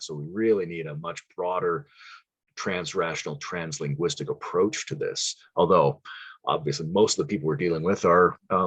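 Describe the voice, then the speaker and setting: A man's voice: deep voice, breathy edge, no room sound, muffled recording. A man talking as a guest on a podcast, spinning long theoretical ideas in a loose, musing way, chuckling now and then. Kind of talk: casual conversation.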